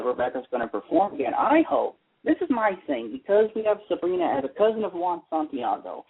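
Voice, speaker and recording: A man chatting casually and wryly as an opinionated panelist on a fan talk show, with a husky voice. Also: mid-range voice. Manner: opinionated, wry. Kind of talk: casual soap opera fan chat. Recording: narrow tinny phone-line sound.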